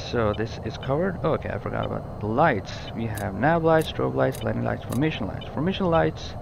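A man's voice: medium pitch, creaky, lo-fi sound, background noise. A man giving a casual, explanatory walkthrough of a flight simulator cockpit, informally repeating and rephrasing as he goes. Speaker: gaming tutorial presenter.

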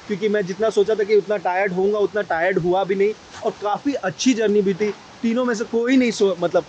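A man's voice: sits high, a little mellow, lo-fi sound. A man in his thirties with an Indian accent, chatting casually and informally to camera as a travel vlogger, often repeating himself for emphasis. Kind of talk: casual vlog chat mixing Hindi and English. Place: inside a car and on a rainy roadside. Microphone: camera at arm's length or on dashboard. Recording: noisy vehicle and outdoor ambience.

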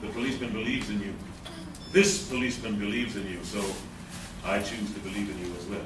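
A man playing an earnest, naive gargoyle warrior in a live radio play, with a solemn, measured delivery. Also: fairly low pitch, fairly even intonation, lightly acted. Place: hotel conference room before an audience. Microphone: far camera in audience. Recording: echoey hall from far off, very noisy.

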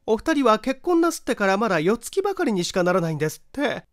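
A man's voice: high voice, sing-song, fast talker, dry recording, clean studio sound, pitched above the performer's own voice. A man voicing a gossipy, polite and earnest neighbor housewife in a mystery audiobook. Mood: eager gossip. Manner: polite feminine speech, chatty, earnest. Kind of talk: audiobook character voice in a mystery story.

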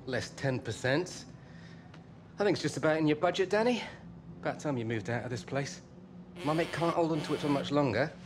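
An actor playing a pushy, fast-talking wheeler-dealer man in a video game cutscene. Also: fairly high pitch, noisy background, natural voice. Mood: pushy, persuading.

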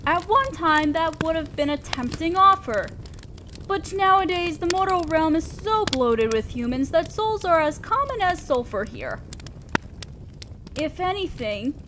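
A woman playing a haughty, teasing female demon lord in a roleplay audio drama, with a drawn-out, condescending, theatrical delivery. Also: high-pitched, heavily acted. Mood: disdainful. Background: crackling fire.

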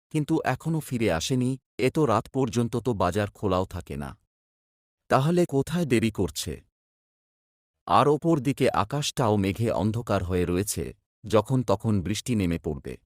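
A man's voice: on the low side, dry recording, clean studio sound. A man playing a poor, worried young village son, narrating his hardship in a scripted cartoon story. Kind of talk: first-person scripted horror cartoon narration.

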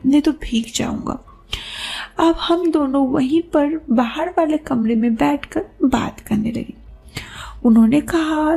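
A woman's voice: high-pitched, vocal fry, warm tone. A woman narrating a scripted story in the first person, as if recounting her own experience.

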